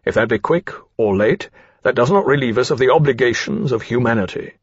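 A man's voice: mid-range voice, expressive, breathy edge, no echo, muffled recording. A man playing a principled, humane officer who speaks formally and firmly in a period fantasy audiobook. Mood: resolute. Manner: formal, firm, measured.

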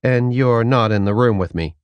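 A man voicing a dry, defiant captive female soldier in an audiobook, with a deadpan, sardonic manner. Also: medium pitch, normal speed, smooth, no room sound, lightly acted. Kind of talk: audiobook character dialogue.

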